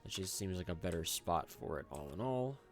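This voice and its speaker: A young man hoarse from a cold, rambling casually with lots of fillers as he hosts his gameplay commentary. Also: deep voice, expressive, fairly quick, soft background music. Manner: rambling, filler-heavy and chatty. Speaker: gaming channel host.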